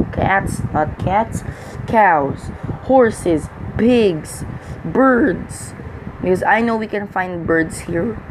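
Slow, hesitant Filipino-accented gamer guy. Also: high voice, background noise. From Philippines. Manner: hesitant, halting, many fillers and restarts. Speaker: gaming channel host. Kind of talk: casual let's-play commentary.

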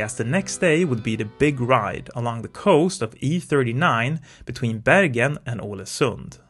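A middle-aged man from Sweden narrating his motorcycle travel vlog in a calm, measured voice-over. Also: medium pitch. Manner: calm, informative, measured delivery. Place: voice-over, not recorded on the road. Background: faint music.

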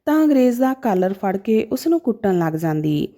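A woman's voice: medium pitch, sing-song, normal speed, mellow. A woman narrating a scripted story in a dramatic, emotive manner.

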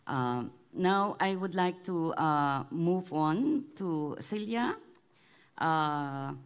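Woman panel moderator, low register, hesitant with frequent restarts. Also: animated, smooth. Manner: halting, many restarts.

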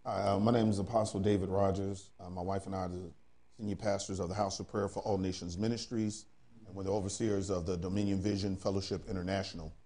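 A man's voice: low voice, flat delivery, quick pace, dark tone. A middle-aged man introducing himself as a pastor on a panel show. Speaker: pastor, talk-show guest. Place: indoor TV studio, seated.

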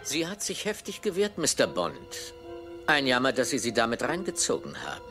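An actor playing a fussy, self-important assassin doctor, pedantic and politely menacing, in a dubbed film. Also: male voice, mid-range voice, huge pitch swings, moderate pace. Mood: smug, boastful. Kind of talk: German-dubbed film acting. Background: film score music.

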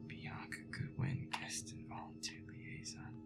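A man's voice: measured pace, whispered, loud music behind the voice, close to an everyday voice. A man playing a feisty, foul-mouthed werewolf boyfriend who mutters sarcastic commentary while gaming. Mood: grumbling, wary. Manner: sarcastic, profane, muttering to himself. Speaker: gamer playing a scary game. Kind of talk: roleplay audio gameplay commentary, muttering.

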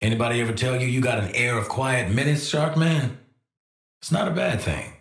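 A man's voice: medium pitch, brisk, creaky, reverberant, close to an everyday voice. An actor with an American accent playing a cocky, world-weary assassin antihero in a video game, wry, blunt and streetwise in his delivery. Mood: wry, teasing. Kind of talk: scripted video game voice lines.

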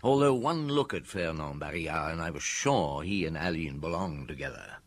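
An actor playing a shrewd, unhurried, wry middle-aged chief inspector with a husky voice in a radio drama. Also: male voice, a bass voice, lively intonation, exaggerated voice. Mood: reflective.

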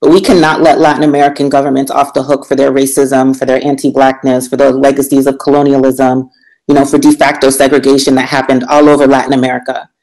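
Panelist: a man on a video call, middle pitch, firm and insistent. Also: little pitch movement, quick pace, darkish tone, low-quality recording. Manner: emphatic and insistent. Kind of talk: unscripted panel discussion.

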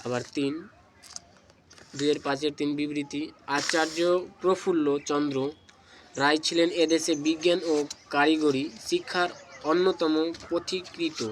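A man reading out exam questions and answers as a tutor, in deliberate, segmented phrases. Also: on the high side, little pitch movement, a little hiss or hum, low-quality recording. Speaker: exam tutor, channel host.

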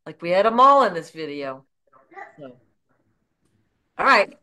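A woman's voice: fairly low pitch, sing-song, unhurried, bright, forceful tone. A middle-aged woman hosting a casual interview over a video call from home. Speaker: host. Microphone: computer mic on a video call.

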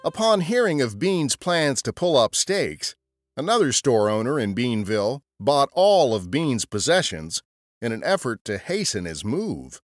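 A man's voice: medium pitch, sing-song, a little slow. A man narrating a scripted history podcast as a storyteller.

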